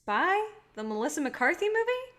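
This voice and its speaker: A woman's voice: very high-pitched, sing-song, moderate pace, fairly bright tone, heavily acted. A woman playing a guarded, idealistic filmmaker, wry and self-deprecating, in a naturalistic staged play reading. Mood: mildly exasperated.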